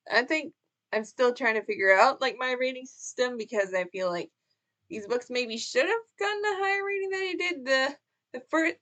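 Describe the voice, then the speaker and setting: A woman's voice: fairly high pitch, fairly bright tone. A young woman chatting about books in a casual vlog, rambling a bit, with fillers and restarts. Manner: chatty and rambling, with frequent fillers and self-corrections. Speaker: book vlogger. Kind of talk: casual book tag vlog.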